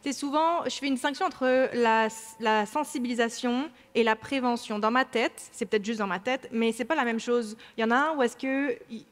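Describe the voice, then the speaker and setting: A woman's voice: fairly high pitch, normal speed, few pauses. A woman from France with a French accent, explaining cybersecurity training as a panelist at a conference, with many fillers and restarts. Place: large conference hall. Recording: hall reverb.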